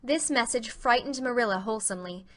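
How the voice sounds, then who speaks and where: Female audiobook narrator, bright forceful tone, slightly high, reading scripted classic fiction. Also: quick pace.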